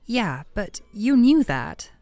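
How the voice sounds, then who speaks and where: A woman's voice: mid-range voice, big pitch swings, takes it slowly, dark tone, no room sound, soft background music. A woman playing a shy, flustered best friend with a hidden crush in an intimate audio roleplay, talking in a hesitant, teasing, conversational way.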